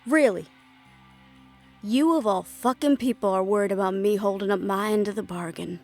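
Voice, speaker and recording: A woman's voice: mid-range voice, moderate pace, darkish tone, faint music behind, lightly acted. A woman playing a defiant, fiercely independent half-fae daughter, blunt and sarcastic, in a fantasy audio drama. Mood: sardonic. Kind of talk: fantasy audio roleplay acting.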